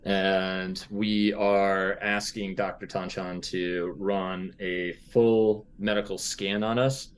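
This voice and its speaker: Low-voiced man playing a tabletop game. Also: flat delivery, slow pace, few pauses. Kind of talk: casual in-game discussion.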